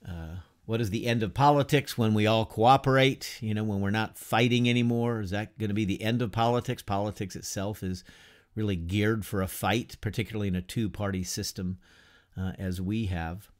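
A man in his fifties giving an informal class lecture from a home study, unhurried and conversational, asking rhetorical questions and using many fillers. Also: fairly low pitch, steady tone. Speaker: teacher of an adult church class. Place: home study lined with bookshelves, whiteboard beside him.

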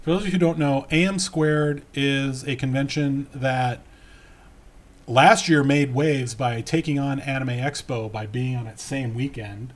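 A man's voice: medium pitch, unhurried. A man with an American accent chatting casually as a podcast co-host, close to a microphone in a small room at home. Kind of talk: casual chat.

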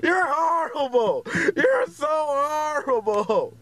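A man's voice: very high voice, lively intonation, takes it slowly, fairly bright tone. A man bantering casually in a car, full of mock outrage and laughter, repeating himself as he teases. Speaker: friend filming a prank phone call. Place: inside a parked car at night. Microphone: handheld camera, close behind it.

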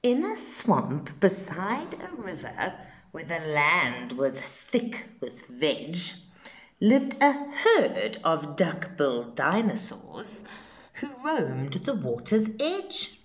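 A woman reading a children's story aloud with expressive, dramatic emphasis and deliberate phrasing. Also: low-pitched. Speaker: storybook reader. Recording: roomy, echoing home recording.

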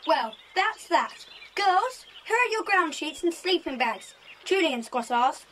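A woman playing a breezy, lively English schoolchild in a children's audio drama about camping. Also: very high-pitched, slow pace, noisy background, heavily acted.

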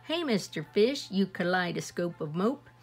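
A woman's voice: on the low side, lively intonation, measured pace, husky, faint music behind, heavily acted. Woman voicing a cheeky squirmy squid. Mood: teasing. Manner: teasing, cajoling. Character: squirmy, slightly rude female squid.

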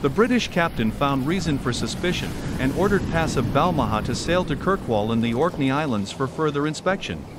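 A man with a fairly high voice narrates like a tour guide, formal and steady as he walks the listener through the story. Loud ambience of a ship and water sits behind him the whole time. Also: fairly quick, few pauses, very noisy. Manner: formal, even, guiding the listener around. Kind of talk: scripted historical narration.

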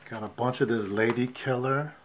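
A man casually showing his comic book finds on camera, calmly counting off issue numbers one by one at a shelf indoors. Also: mid-range voice, moderate pace, noisy background. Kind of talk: casual show-and-tell vlog. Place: indoors at a wooden shelf display. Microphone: fixed camera, hands only in frame.

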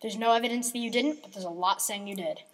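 A woman's voice: on the high side, fast, lightly acted. A woman playing a defensive, accusing male detective in an amateur radio mystery.

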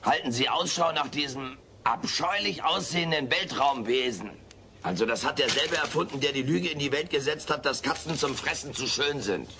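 A man's voice: mid-range voice, lively intonation, normal speed, lightly acted. A man voicing a cheeky, vain little furry alien with sarcastic, mock-pompous delivery in a German sitcom audio drama. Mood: cheeky, theatrical. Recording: faint noise, old cassette audio drama.

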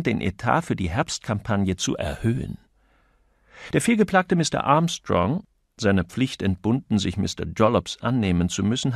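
A man's voice: lowish voice, creaky, no room sound, clean studio sound. A man reading a novel as an audiobook narrator in a dramatized, literary style.

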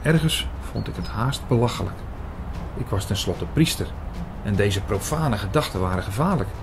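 An actor as a reflective, measured male priest narrator. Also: on the low side, lively intonation, creaky, background noise. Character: earnest priest narrator, shy and secretly smitten. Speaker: narrator and lead in an audio drama. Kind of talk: dramatised first-person audio-drama narration.

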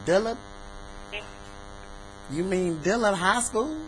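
A woman's voice: medium pitch, slow pace, close to an everyday voice. A folksy old church lady, acted out by a woman for a prank call, doting and nosy, full of sugary endearments and pious talk. She is caught on a webcam with some hum and hiss behind her. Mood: chatty, nosy. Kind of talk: improvised prank phone call. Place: indoor room at home. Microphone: webcam microphone. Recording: noisy, humming webcam recording.

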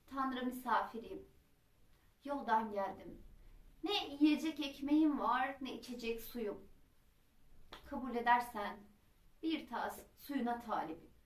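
A woman's voice: a little high, slow pace, pauses often. A woman storyteller voicing a respectful, innocent young prince, speaking politely and humbly. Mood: humble, weary. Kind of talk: acted folk-tale storytelling. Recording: roomy echo, distant microphone.

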